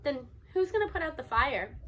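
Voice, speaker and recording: A woman's voice: high voice, moderate pace, a little hiss or hum, heavily acted. A woman voicing a confused, cheerful and unflappable elderly grandmother in a children's storybook. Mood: puzzled. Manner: quizzical, blithely calm.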